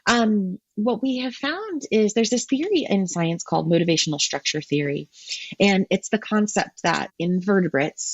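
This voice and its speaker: Woman guest, husky voice, explains science thoughtfully with many restarts, dull muffled audio. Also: low-pitched, no echo. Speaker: guest marine ecologist. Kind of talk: podcast interview answers.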